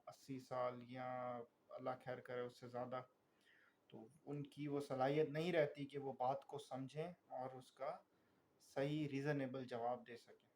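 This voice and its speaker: A man with a Pakistani accent lecturing as a tutor, reading formal text and explaining it in a deliberate, didactic way. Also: medium pitch, darkish tone, slight background noise. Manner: deliberate, didactic, repeatedly checking understanding. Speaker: law tutor.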